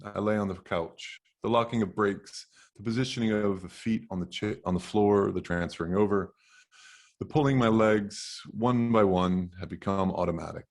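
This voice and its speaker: A man's voice: fairly low pitch, normal speed. A man reading a first-person novel aloud as its author, with a dry, wry delivery. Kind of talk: literary audiobook-style reading.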